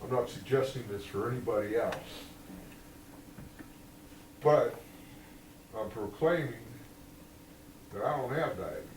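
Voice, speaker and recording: A man giving an earnest, halting testimony in a small church. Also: mid-range voice. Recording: echoey room, distant mic, noisy.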